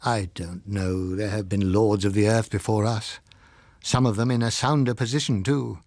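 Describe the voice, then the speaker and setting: A man voicing a learned, pompous scientist in an audiobook, speaking in a deliberate, drily ironic, lecturing manner. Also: fairly low pitch, steady tone, darkish tone, no room sound, studio quality, pitched below the performer's own voice, natural voice. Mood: wry, grave. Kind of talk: dramatised audiobook reading.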